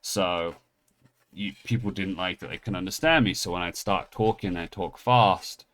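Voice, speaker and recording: A man in his thirties from England with an English accent softened by American habits, telling a rambling story and then opening trading card packs in a casual, self-mocking way. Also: low voice, normal speed. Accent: English, partly Americanized. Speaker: pack-opening channel host.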